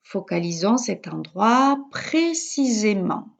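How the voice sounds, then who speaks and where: A woman yoga teacher guiding a relaxation session in a calm, measured, soothing manner with pauses. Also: medium pitch, creaky. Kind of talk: guided yoga nidra relaxation instruction.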